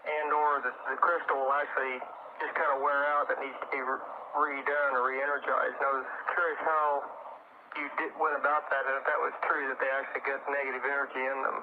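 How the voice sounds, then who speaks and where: A man's voice: medium pitch, flat delivery, fairly quick. A man phoning in to a radio show, asking questions in a polite, hesitant, casual way. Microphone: telephone. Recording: tinny, noisy phone call on 1980s radio.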